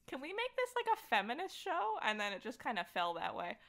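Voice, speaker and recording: A woman's voice: fairly high pitch, wildly swooping pitch, a little fast, fairly bright tone. A woman chatting casually and enthusiastically as a guest on a podcast. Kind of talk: casual chat.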